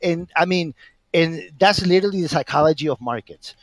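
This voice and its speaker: A man's voice: on the high side, fairly quick, no room sound. A man in his late thirties, talking casually on a stream call, rambling with many fillers and blunt profanity. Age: 30s. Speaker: guest caller.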